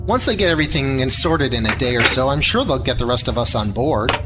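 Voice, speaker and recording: Sci-fi drama crewman, acted by a man, easygoing and reassuring, animated delivery over music. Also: mid-range voice, quick pace, natural voice. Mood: reassuring, amused. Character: easygoing, wry starship engineering crewman. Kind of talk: audio drama acting.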